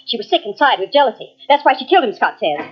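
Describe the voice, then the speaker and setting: An actress playing a cold, jealous, unstable twin in an old-style radio mystery, with a clipped, haughty delivery. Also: female voice, fairly high pitch, big pitch swings, fast, husky. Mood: brittle, feigned innocence. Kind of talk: 1940s radio mystery acting. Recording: dull lo-fi old radio tape.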